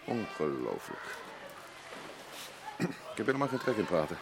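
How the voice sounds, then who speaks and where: Stugge, afwerende zwemleraar, ruizige opname. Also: male voice, low voice, big pitch swings, a little slow, heavily acted. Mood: indignant, brusque. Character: gruff defensive swimming instructor with estranged wife. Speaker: radio drama actor. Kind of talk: Dutch radio play dialogue. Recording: noisy old radio drama recording.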